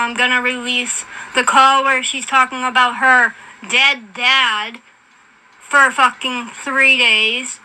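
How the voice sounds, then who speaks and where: A woman's voice: sits high, bright, forceful tone, slight background noise, poor audio. A woman in a fed-up, confrontational, profane off-the-cuff rant to an online audience. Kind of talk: angry off-the-cuff livestream rant.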